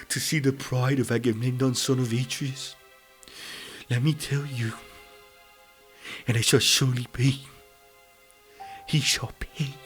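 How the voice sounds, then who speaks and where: Male actor as proud Greek hero, aggrieved, declamatory, archaic phrasing, audiobook drama, soft music behind. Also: mid-range voice, lightly acted. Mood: low, grim. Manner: declamatory, archaic formal speech. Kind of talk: dramatized audiobook acting of epic verse.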